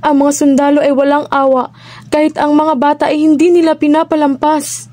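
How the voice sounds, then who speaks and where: A woman's voice: high-pitched, little pitch movement, brisk, dark tone, lightly acted. A woman playing an anxious, determined underground activist, earnest and urgent, in an amateur radio drama. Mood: worried, determined. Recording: faint noise, ambience behind the voice.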